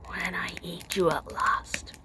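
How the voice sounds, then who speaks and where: A woman whispering as a menacing hungry giant. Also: little pitch movement. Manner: hoarse low whisper, slow and threatening. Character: huge hungry male giant, menacing, rumbling.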